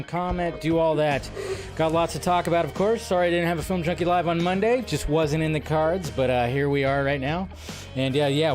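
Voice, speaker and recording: A man with a slightly high voice chats through a live stream from his home, loose and easygoing. He greets viewers and reads their comments in a relaxed, unscripted way. Faint music plays behind him. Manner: loose, chatty, sprinkles in mild swearing. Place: at home by a window. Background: faint intro music.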